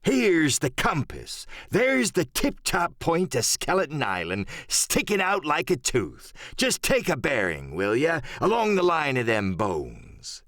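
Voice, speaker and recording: A wily, commanding seafaring rogue, speaking in salty dialect. The voice swings dramatically from low growls to high exclamations, drawling out words in a close, dry sound. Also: male voice, wildly swooping pitch, a little slow, hardly any pauses, a bit bright, dry recording, full character voice. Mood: shrewd, pondering. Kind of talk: audiobook character acting.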